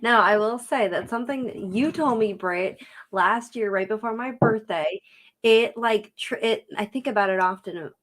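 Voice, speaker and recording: A woman in her early thirties with a mid-range voice. She co-hosts a relaxed podcast and tells her stories animatedly, laughing easily. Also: mid-range voice, normal speed. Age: 30s. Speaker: podcast co-host. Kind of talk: casual chat.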